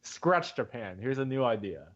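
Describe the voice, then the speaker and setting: A man's voice: medium pitch, animated, moderate pace, fairly bright tone. Male podcast guest, mid-range voice, playful repetitive banter, muffled online-call recording. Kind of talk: casual group podcast banter.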